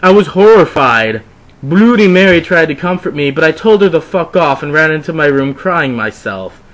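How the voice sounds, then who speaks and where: A man's voice: on the high side, animated, moderate pace, fairly bright tone, rough recording. A man doing a wry, theatrical dramatic reading of a comically bad story, with mocking asides as narrator. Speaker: narrator reading fan fiction aloud.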